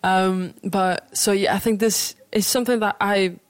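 A woman's voice: medium pitch, fairly even intonation, normal speed, no echo. A woman in her twenties from Portugal with a British accent, chatting casually and thinking aloud in an interview as a musician.

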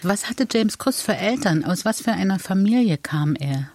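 Radio interviewer, calm clear questions, dry close sound. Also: medium pitch, moderate pace, no room sound. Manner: composed, precise questioning.

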